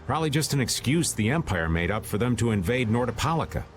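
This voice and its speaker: Roguish middle-aged man, swinging pitch actor's performance. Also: very animated, fast talker, slight background noise, close to an everyday voice. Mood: world-weary. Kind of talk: video game dub acting.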